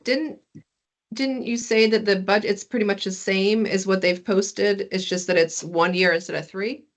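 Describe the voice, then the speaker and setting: Casual mid-pitched woman in a meeting. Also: steady tone, moderate pace. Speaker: meeting participant. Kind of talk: casual interjections on a work call. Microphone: online conference call.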